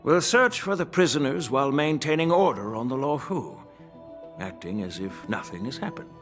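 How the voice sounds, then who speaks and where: A man's voice: mid-range voice, animated, normal speed, soft background music, close to an everyday voice. Man playing a shrewd old general, expressively inflected. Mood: calm, resolved. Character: measured, shrewd old grandfather general of a fantasy army. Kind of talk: dramatic video game voice acting.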